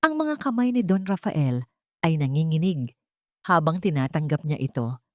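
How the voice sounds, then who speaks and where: Female narrator for dramatic first-person fiction: lower pitch, dry studio-like sound. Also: sing-song, normal speed, darkish tone, dry recording.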